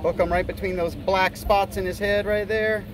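Casual American male fishing host, high-pitched voice, folksy delivery, recorded outdoors on a boat with noticeable background noise. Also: steady tone, moderate pace. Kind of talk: casual running commentary while fishing. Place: outdoors on a small boat on open water. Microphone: handheld camera or phone. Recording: noisy outdoor boat and water sound.

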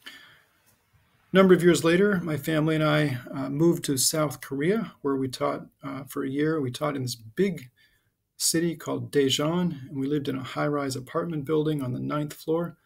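Casting: middle-aged American male schoolteacher, slightly high voice with a gravelly edge, halting informal explainer. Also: steady tone. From United States (Connecticut and Southern California). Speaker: high school history teacher. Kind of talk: informal recorded class introduction over slides.

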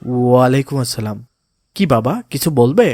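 Calm, pious cartoon father, voiced by a man. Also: mid-range voice, normal speed, dry recording, lightly acted. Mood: warm, instructive. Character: pious, kindly adult father giving gentle counsel. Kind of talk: cartoon voice acting in moral story.

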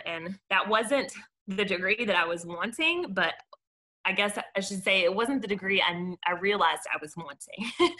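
Woman, American accent, bright and forceful voice, presenting informally on a video call as a garden caretaker. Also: medium pitch. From Mobile, Alabama, United States.

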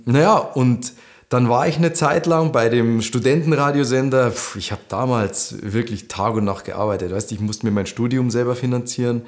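A man in his thirties with a Lower Bavarian accent, telling his own story to camera in a casual, animated way from a living room. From Lower Bavaria, Germany. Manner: chatty, animated, gestures with hands. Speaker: host.

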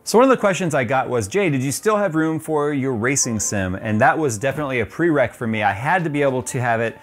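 A man's voice: medium pitch, animated, quick pace, faint music behind. Chatty man giving a room tour. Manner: chatty, casual, many ums and restarts. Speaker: tech YouTuber. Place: home game room, indoors. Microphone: lapel mic.

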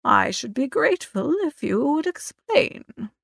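Stuffy old duke for a comic audiobook, played by a woman: stiffly formal, pompous, gravelly creak, dry sound. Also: sits high, very animated, measured pace, no room sound, full character voice. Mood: coldly polite. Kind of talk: audiobook character reading.

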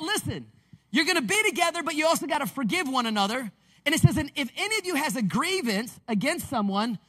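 A man, preaching a lively, conversational sermon on a church stage into a handheld mic. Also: very high-pitched. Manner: animated, conversational preaching with call-and-response. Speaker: pastor. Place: large church stage with screens. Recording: long reverb of a large hall.

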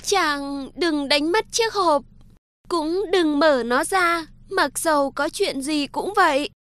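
A woman voicing a gentle, lovestruck young sea princess in a cartoon folktale, soft and pleading. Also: high-pitched, slow pace. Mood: sad, pleading.

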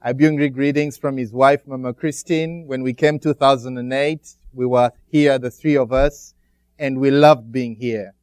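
A middle-aged man from Uganda with a Ugandan accent, speaking as a guest pastor to a church congregation, pausing often as he preaches. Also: medium pitch, steady tone, a little mellow, dry recording. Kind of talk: church greeting and sermon.

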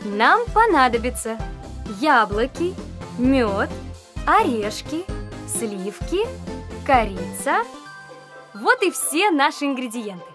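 A woman's voice: high-pitched, very animated, slow pace, long pauses. A woman narrating a simple recipe segment for children in a friendly, sing-song way. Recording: voice-over with music.

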